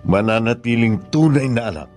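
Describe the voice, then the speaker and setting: An actor playing a remorseful but firm middle-aged father in a Filipino radio drama, speaking solemnly and deliberately. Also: medium pitch, lively intonation, darkish tone, soft background music, natural voice.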